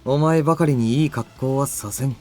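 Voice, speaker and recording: An actor playing a cocky, fearless young fighter in a visual novel, taunting and self-assured. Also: male voice, mid-range voice, rapid-fire, dark tone, soft background music, natural voice. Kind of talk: Japanese visual novel voice acting.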